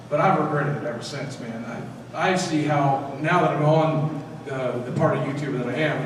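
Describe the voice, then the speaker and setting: A man speaking to a live audience at a convention panel in an energetic, direct way, with quick restarts. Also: on the high side. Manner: energetic, talks straight to the crowd with quick restarts. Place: large echoey convention hall. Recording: echoey convention hall from far off with noise. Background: hall ambience noise.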